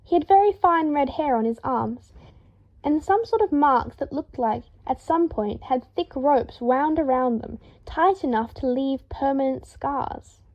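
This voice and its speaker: A woman reading fiction aloud as an expressive audiobook narrator, in a slightly dull lo-fi recording. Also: sits high, measured pace. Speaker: fan fiction audiobook reader.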